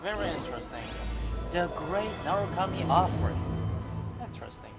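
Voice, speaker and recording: A man streaming a game, giving casual, halting commentary in short, repetitive reactions. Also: a little high, animated. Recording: game music behind the voice.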